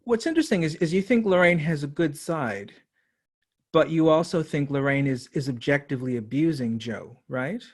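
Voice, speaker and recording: A man interviewing someone conversationally, laying out probing hypothetical questions in a deliberate way. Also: on the high side.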